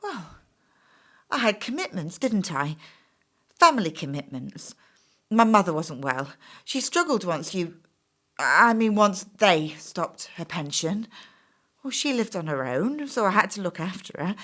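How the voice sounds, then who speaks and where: Flustered, defensive woman job applicant played by an actress, very lively pitch. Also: unhurried, long pauses, full character voice. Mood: pleading. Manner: flustered, hesitant, apologetic, self-justifying. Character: anxious, defensive female job applicant in her mid-thirties. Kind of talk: stage play dialogue.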